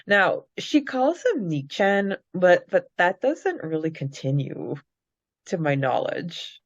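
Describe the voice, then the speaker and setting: A woman with a fairly low voice chats casually as a podcast co-host, recapping a story. Her delivery is giggly and informal. The recording is a little dull and lo-fi. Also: big pitch swings, takes it slowly. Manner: giggly, chatty. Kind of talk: casual book-club style recap chat.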